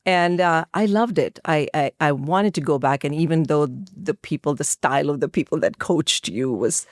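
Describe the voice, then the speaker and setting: A woman's voice: low voice, normal speed, dry recording, studio quality. A middle-aged woman from India with an Indian accent, answering conversationally as an interview guest and telling personal stories. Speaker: podcast guest, actress and filmmaker.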